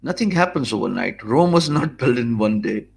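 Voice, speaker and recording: Earnest Pakistani man, halting measured talk. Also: medium pitch, expressive, gravelly. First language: not English. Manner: earnest and measured, many "uh" fillers and restarts, gestures with his hands. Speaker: online business coach. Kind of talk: talking-head video lesson. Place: small home office, indoors. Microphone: stand mic close in front, webcam framing.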